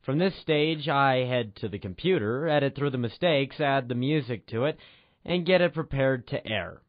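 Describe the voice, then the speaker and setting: A man's voice: mid-range voice, expressive, moderate pace, dry recording. A man, a writer and director, hosting with scripted old-time radio narration. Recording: dull lo-fi radio sound, music underneath. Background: music.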